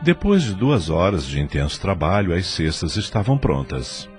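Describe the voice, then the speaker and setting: A man's voice: sits low, very animated, normal speed, faint music behind. A man with a Brazilian accent giving scripted narration for an audio drama in a solemn, formal storytelling manner.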